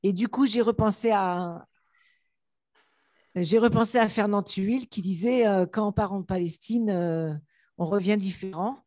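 A woman from a solidarity group asking a long question with many restarts and pauses. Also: mid-range voice, dark tone, no echo. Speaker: member of a solidarity committee.

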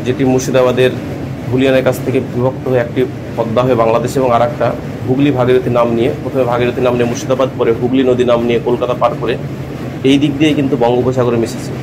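A man narrating a train journey as a travel vlogger, explaining sights like a tour guide. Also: mid-range voice. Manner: fast, informative tour-guide telling. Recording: music bed under voice-over. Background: guitar music.

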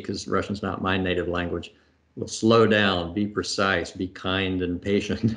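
A middle-aged man speaks over a video call from a book-lined room at home, his voice sitting a little low. He answers thoughtfully, pausing with fillers and circling back to restart his phrases. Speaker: astronaut guest. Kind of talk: conversational Q&A answers. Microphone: video call webcam.

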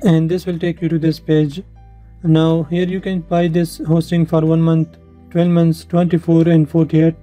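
Very dark-voiced man narrating a tutorial. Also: on the high side, monotone, measured pace. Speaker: tutorial presenter. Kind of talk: instructional screen-share narration. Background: background music.

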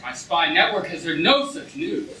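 A man's voice: high-pitched, sing-song, moderate pace, pushed and bright, exaggerated voice. A man playing a dutiful, doubtful chief enforcer, formal and deferential, in a staged reading of a comic play. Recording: echoey hall, far from mic.

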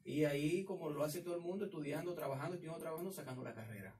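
A man's voice: a little high, racing, darkish tone, recorded from a distance. A man, a guest teacher, speaking hesitantly with fillers in a radio conversation. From Venezuela.

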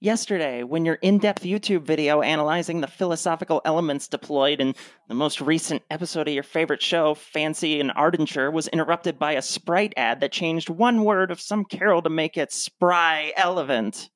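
A woman's voice: deep voice, normal speed, no echo, close to an everyday voice. A woman acting a sardonic, fed-up wife. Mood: cutting, sarcastic. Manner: dry, sarcastic. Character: sardonic, cutting wife fed up with her husband. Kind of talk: radio play dialogue.

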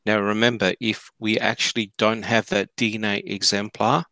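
A man answering questions in a live discussion, explaining deliberately and emphatically, point by point. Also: on the low side, little pitch movement, bright, forceful tone, no room sound. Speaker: panelist answering listener questions.

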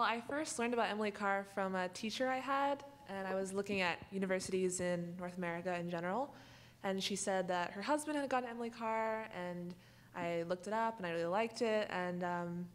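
A woman's voice: mid-range voice, a little fast. A young woman student answering questions on a panel in a lecture hall. Her voice sits mid-range and her talk is casual, with many "like"s. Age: young adult. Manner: casual, uses "like" a lot.

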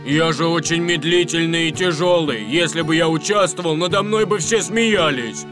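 Good-hearted, insecure road roller male actor, high-pitched and hesitant, for a children's cartoon with music. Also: moderate pace, natural voice. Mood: dejected. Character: timid, self-doubting, good-hearted road roller vehicle. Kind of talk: Russian cartoon dub. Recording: music behind.

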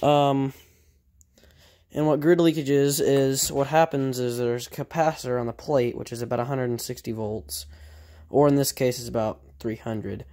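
A man talking casually off the cuff like a hobbyist repairer showing his work, halting and correcting himself as he thinks aloud. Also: mid-range voice, fairly even intonation, warm tone. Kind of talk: casual off-the-cuff workshop vlog. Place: indoor workshop at a cluttered bench.

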